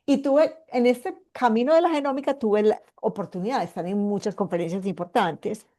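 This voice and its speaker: A woman in her fifties from Colombia with a Paisa accent, a geneticist giving an online presentation and explaining patiently while pausing to find her words. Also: medium pitch, warm tone. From Colombia (Medellín). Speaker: geneticist and guest speaker. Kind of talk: online presentation to a support group.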